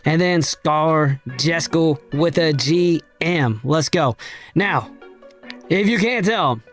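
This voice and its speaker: A man hosting a casual crypto trading livestream, slangy and hyped, his voice raspy and hoarse from a cold. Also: fairly high pitch, expressive, slow, faint music behind. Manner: slangy, hyped, addresses chat directly. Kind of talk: casual livestream market talk.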